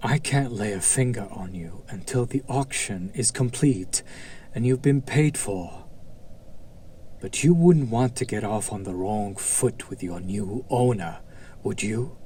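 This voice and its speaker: A lowish male demon's voice, acted by a man, drawling slowly over noticeable background ambience. He is smug and seductive, coaxing with silky condescension and menace, as in an intimate roleplay audio drama. His deliberate pauses let each taunt hang. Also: dark tone, a little hiss or hum, lightly acted. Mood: teasing, coaxing.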